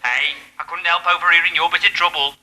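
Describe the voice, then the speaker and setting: A man's voice: a little high, fairly even intonation, quick pace. A man playing a cheerful, tactless CB radio chatterer over the air in a British TV sitcom. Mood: teasing, chummy. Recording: very noisy CB radio sound.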